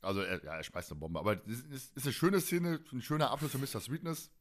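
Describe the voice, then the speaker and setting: A man's voice: medium pitch, big pitch swings, quick pace. A man chatting casually as a podcast co-host, retelling things in colloquial language.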